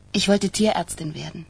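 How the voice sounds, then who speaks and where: An actress playing a friendly, self-deprecating young girlfriend in a radio crime drama, candid, with a raspy, creaky voice. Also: fairly low pitch, darkish tone, heavily acted. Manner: candid, unpretentious.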